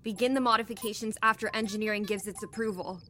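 A woman's voice: medium pitch, steady tone, fast talker, pushed and bright, close to an everyday voice. A woman playing a curt, businesslike chief science officer in a sci-fi audio drama, clipped and commanding. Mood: brisk, curt. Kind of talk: audio fiction acting.

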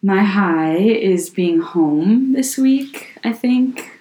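A young woman co-hosting a podcast in casual chat, slightly hoarse from a sore throat, chatty and full of "like". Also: medium pitch, expressive, drawn-out words, warm tone. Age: young adult. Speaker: podcast co-host. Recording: echoey room.

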